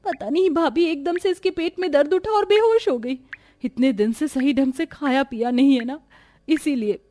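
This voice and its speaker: Cartoon poor girl woman's voice acting, anxious, hungry, plaintive, high pitch. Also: moderate pace, mellow, close to an everyday voice. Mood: worried.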